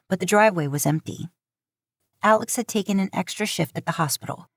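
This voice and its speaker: A woman narrating a story from a script in an expressive reading style. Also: lowish voice, dry recording, studio quality. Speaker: podfic narrator, podcast host.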